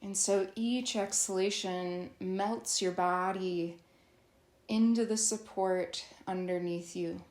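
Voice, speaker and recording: Slow-paced woman guiding a roomy meditation. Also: mid-range voice, fairly even intonation, a little mellow. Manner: soothing, instructional, with long pauses. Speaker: guided meditation teacher. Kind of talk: guided yoga nidra meditation.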